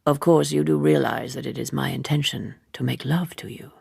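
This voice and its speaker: Audiobook gentleman seducer played by a woman: urbane, amused, ironic, heavily creaky and husky. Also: rumbling low, fairly quick, no room sound, studio quality, pitched below the performer's own voice, full character voice. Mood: persuasive, teasing. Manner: urbane, wordy, teasingly ironic. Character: suave, worldly gentleman, leisurely and amused seducer. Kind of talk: audiobook character dialogue.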